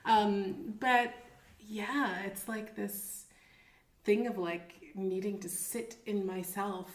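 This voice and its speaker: A woman co-hosting a casual chat, telling stories in an animated way and laughing often. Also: medium pitch, takes it slowly. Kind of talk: casual conversation. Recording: roomy echo.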